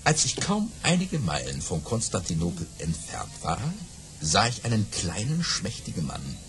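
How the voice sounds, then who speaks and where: A man's voice: sits low, huge pitch swings, fairly bright tone, exaggerated voice. A man playing a boastful baron who tells tall tales to drinking friends in a theatrical children's audio drama. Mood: mysterious, boastful. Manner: theatrical, savouring pauses, hesitant fillers for effect.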